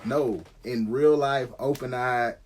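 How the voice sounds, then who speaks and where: Husky-voiced American man telling stories. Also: medium pitch, slow, darkish tone. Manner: slangy, conversational, swearing freely. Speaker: live-stream host. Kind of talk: casual storytelling on a live stream.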